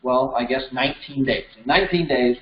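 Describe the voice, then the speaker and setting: A man's voice: medium pitch, brisk. An American man in his thirties giving an informal, conversational lesson, explaining things step by step. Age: 30s. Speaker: instructor. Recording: roomy echo, slightly dull sound.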